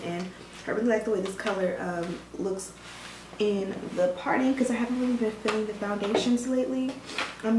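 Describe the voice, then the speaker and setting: A woman reviewing a wig for her viewers in casual chat, her voice hoarse and scratchy from a cold, with many fillers. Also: mid-range voice. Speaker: beauty vlogger reviewing a wig. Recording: roomy room sound, mic at a distance, faint noise.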